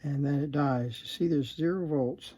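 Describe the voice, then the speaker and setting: A man giving casual, unscripted repair commentary at a workbench, halting and muttering to himself as he works. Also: fairly high pitch, dark tone, slightly rough. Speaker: host demonstrating electronics repair.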